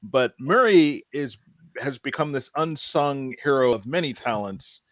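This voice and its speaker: Halting male podcast host, dry muffled sound. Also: medium pitch, animated, fairly bright tone, dry recording. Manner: halting, repeats words as he thinks. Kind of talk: casual TV show discussion.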